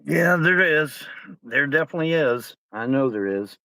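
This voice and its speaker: A man's voice: medium pitch, expressive, normal speed, sharp tone, no echo, poor audio. A man riding as a passenger in a car, mostly agreeing in short, laconic replies. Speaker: passenger and sidekick. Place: inside a car.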